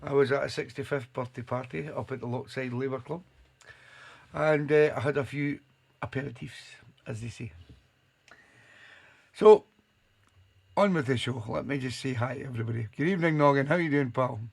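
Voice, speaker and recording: A man hosting a live online soul radio show, his voice hoarse and gravelly after a night out, chatting and reeling off listeners' names. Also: mid-range voice, moderate pace, long pauses. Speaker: radio DJ and host. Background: soul music playing.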